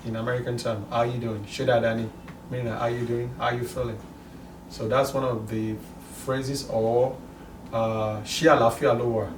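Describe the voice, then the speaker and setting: A middle-aged man from Nigeria with a Nigerian accent, speaking haltingly in a documentary interview. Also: medium pitch, flat delivery, distant mic, background noise. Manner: halting, many restarts and fillers. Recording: roomy, off-mic with music underneath.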